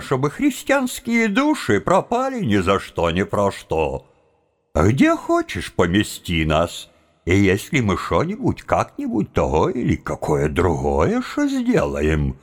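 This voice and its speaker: An actor playing a cheerful, wheedling young seminary philosopher with a drawn-out, folksy way of talking in an audio drama. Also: male voice, fairly low pitch, heavily acted. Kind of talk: audio drama of a classic story.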